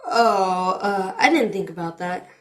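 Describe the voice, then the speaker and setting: A woman's voice: medium pitch, a little slow, a bit bright, natural voice. A woman playing an earnest, teasing young adventuring companion in an audio drama. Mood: doubtful.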